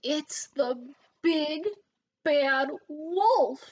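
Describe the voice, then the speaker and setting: A woman voicing an excitable, plucky little male pig from a children's storybook, crying out. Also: high voice, extremely slow, muffled recording, voice pushed up. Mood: alarmed. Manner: crying out in alarm. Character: excitable little male pigs, plucky but easily alarmed. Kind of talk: children's storybook read-aloud.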